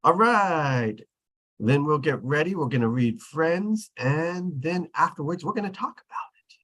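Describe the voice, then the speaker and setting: A man's voice: a little high, animated, measured pace, fairly bright tone. A man hosting an online get-together on a video call, introducing guests in casual chat. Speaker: host.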